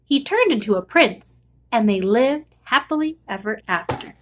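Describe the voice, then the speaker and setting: A woman reading a children's storybook aloud in an expressive storytelling style. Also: a little high, moderate pace, a little hiss or hum. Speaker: storybook reader.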